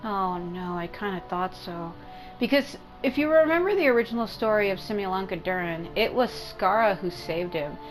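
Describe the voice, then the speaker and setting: A woman's voice: medium pitch, animated, normal speed, rough recording. A woman gaming streamer giving casual, reactive commentary as she plays. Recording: game music behind.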